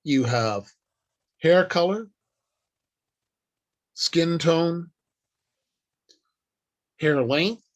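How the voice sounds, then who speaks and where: Slow-talking man giving an instructional talk. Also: on the high side, lively intonation. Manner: deliberate, emphatic, short declarative phrases with pauses. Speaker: workshop speaker.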